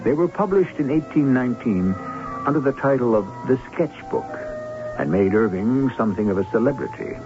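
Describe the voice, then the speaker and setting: A man's voice: mid-range voice, animated, moderate pace. A man hosting an old radio drama, narrating from a script as a storyteller. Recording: tinny old radio with music.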